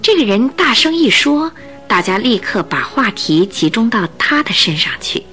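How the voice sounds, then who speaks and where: Woman narrator, scripted storytelling, radio drama, lively swinging intonation, faint background music. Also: fairly high pitch, normal speed. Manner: expressive storytelling.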